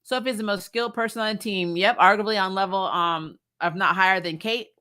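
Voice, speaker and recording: A woman's voice: mid-range voice, fairly even intonation, brisk, bright, forceful tone. A woman hosting a fan recap show, chatting casually and bluntly about TV with loose, informal phrasing and some swearing. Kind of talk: casual livestream chat about TV shows.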